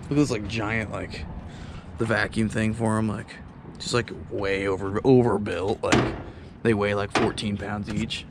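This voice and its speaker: A man's voice: on the low side, measured pace, noisy background. Young man in casual shop talk. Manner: relaxed, joking, lots of "like" fillers. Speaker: car restorer. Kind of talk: casual hands-on chat. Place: outdoor lot, then a garage workshop.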